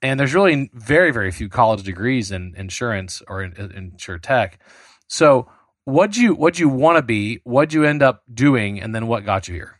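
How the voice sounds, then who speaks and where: A man hosting a podcast interview, asking questions in a halting way with many restarts and a playful touch. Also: mid-range voice, big pitch swings, dry recording, clean studio sound. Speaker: podcast host.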